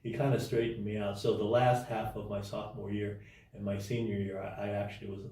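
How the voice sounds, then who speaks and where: Halting deep-voiced man in echoey room. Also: level tone, dark tone, far from the mic. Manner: halting, many restarts and fillers. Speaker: interview guest. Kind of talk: casual storytelling interview.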